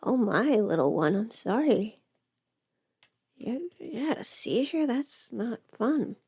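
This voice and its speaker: A woman's voice: a little high, sing-song, slow pace, mellow, slightly rough, dry recording, clean studio sound. A young adult woman chatting casually to livestream viewers in a rambling, informal way, often interrupting herself. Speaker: livestreamer and audio performer. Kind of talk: casual livestream chat while putting on makeup.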